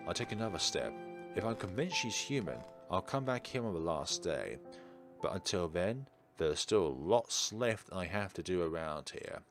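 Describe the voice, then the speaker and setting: A man streaming a visual novel, narrating and commenting in an expressive, emphatic way. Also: low voice, moderate pace. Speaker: game streamer. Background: game music.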